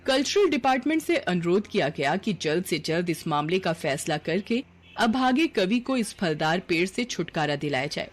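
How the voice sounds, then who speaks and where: Expressive woman narrating a story. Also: mid-range voice, a little hiss or hum.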